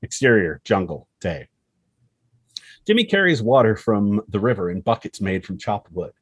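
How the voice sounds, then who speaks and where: A man's voice: lowish voice, big pitch swings, normal speed, husky. A man reading stage directions as scripted narration for a screenplay table read.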